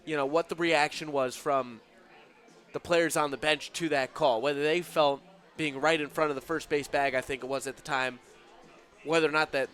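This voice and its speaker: Slightly high-voiced male color commentator. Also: a bit bright. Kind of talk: conversational sports analysis.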